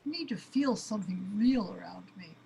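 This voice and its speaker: Woman acting overwhelmed female character, anxious complaining, radio drama, medium pitch, clear background noise. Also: expressive, moderate pace, mellow. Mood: pleading, frustrated. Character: Bornless, panicky overwhelmed woman avatar longing for a body. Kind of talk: amateur audio drama acting.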